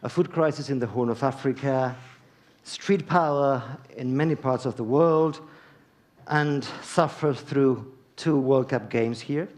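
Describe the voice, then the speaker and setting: A man from Argentina, moderating a panel on a conference stage in a measured, deliberate way with pauses. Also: medium pitch, a little mellow.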